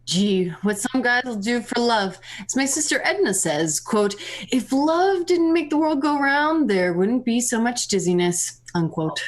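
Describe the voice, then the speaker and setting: Woman doing a lively folksy wisecracking sidekick. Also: fairly high pitch, measured pace. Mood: wry. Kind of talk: amateur 1940s radio play reading.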